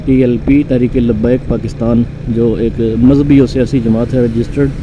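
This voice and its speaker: A man from Pakistan, calmly narrating a motorcycle ride through his city with many pauses and fillers. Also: mid-range voice. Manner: calm, unhurried, pausing with frequent fillers. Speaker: motorcycle vlogger. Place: on a motorcycle on a city road, outdoors. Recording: dull lo-fi sound with road and traffic noise.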